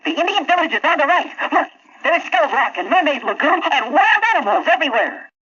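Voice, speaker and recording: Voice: sits high, big pitch swings, brisk, bright, forceful tone, lo-fi sound, exaggerated voice. A performer playing a brave, cocky young boy, eager and exclamatory, in a theatrical children's story recording. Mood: excited.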